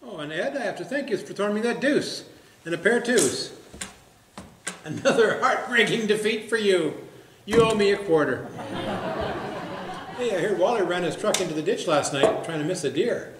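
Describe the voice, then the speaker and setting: A man's voice: sits high, lively intonation, normal speed, pauses often. An actor playing a chatty, needling small-town man at cards in a comic stage play, folksy and plainspoken. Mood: smugly teasing. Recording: echoey stage with faint noise.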